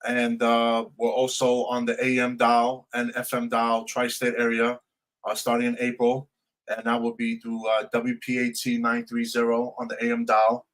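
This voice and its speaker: Even-toned man co-hosting live radio. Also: medium pitch, normal speed. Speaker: radio co-host and DJ. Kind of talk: casual live radio talk and station promotion.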